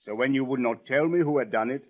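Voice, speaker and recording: Stern male police official played by an actor, measured formal wording, firm authority, vintage radio drama, muffled sound. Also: mid-range voice, natural voice. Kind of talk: 1940s radio drama acting. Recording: muffled old radio broadcast.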